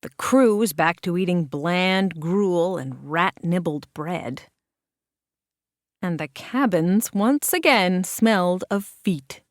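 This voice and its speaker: A woman reading a children's picture book aloud in an expressive, measured narration with deliberate dramatic pauses. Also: fairly low pitch, no room sound, studio quality. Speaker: children's storybook reader.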